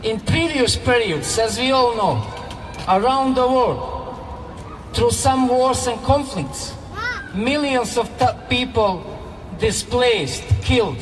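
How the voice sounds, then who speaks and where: A man from Bosnia with a Bosnian accent giving a slow, measured rally speech that rises to fervent, raised passages. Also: very high-pitched, expressive. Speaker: speaker at a solidarity rally. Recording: echoey public-address sound from far off, music behind.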